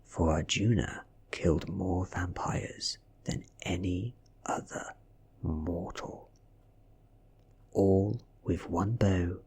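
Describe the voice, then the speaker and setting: A man with a British accent softly narrating a story in ASMR style, breathy and often near a whisper. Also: deep voice. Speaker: audiobook reader.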